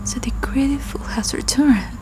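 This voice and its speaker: Hushed, vengeful folk-tale goblin whispered by a woman. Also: sits high, moderate pace, close to an everyday voice. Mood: menacing.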